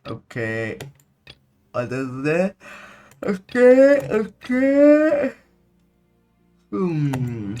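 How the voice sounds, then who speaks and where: A man's voice: sits high, wildly swooping pitch, extremely slow, long pauses, dark tone. A man giving casual gameplay commentary, thinking aloud and often exclaiming. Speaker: gaming streamer. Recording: game music behind voice. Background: game music.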